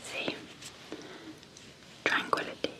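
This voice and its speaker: A woman speaking softly as an ASMR host, guiding sleep hypnosis in a hushed, gentle, deliberate way with long pauses. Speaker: ASMR host and clinical psychologist.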